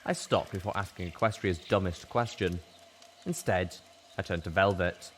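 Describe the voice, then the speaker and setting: A man's voice: sits low, moderate pace, a little hiss or hum. A man reading a first-person story in a dramatic audiobook narration style. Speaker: story reader.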